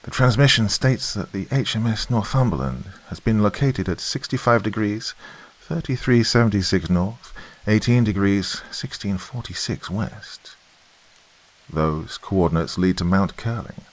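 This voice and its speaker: A man's voice: lowish voice, animated, a little slow, pitched above the performer's own voice, low-quality recording. A man voicing a young, earnest naval intelligence officer in a military story, formal and urgent.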